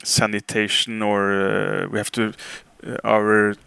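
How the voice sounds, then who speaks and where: A man's voice: fairly low pitch, completely flat delivery, slow pace, vocal fry, sharp tone, clear, resonant tone. A man from Norway with a Norwegian accent, a native Norwegian speaker hosting a casual podcast in English, hesitantly searching for words. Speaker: podcast host.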